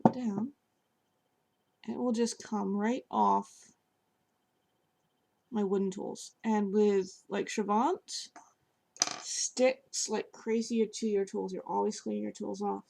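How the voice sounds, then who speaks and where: Woman, hoarse, scratchy, gravelly fry, casual chatty demo at a home table, middling pitch. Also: steady tone, slow pace, pauses often, mellow. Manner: chatty, with fillers while working with her hands. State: hoarse, voice partly lost. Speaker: sculptor reviewing clay. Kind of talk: casual demonstration.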